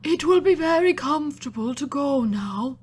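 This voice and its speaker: A woman's voice: high-pitched, animated, moderate pace, darkish tone, pitched above the performer's own voice. A woman voicing a wheezy, wailing camel. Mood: fond. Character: fretful, sentimental male camel.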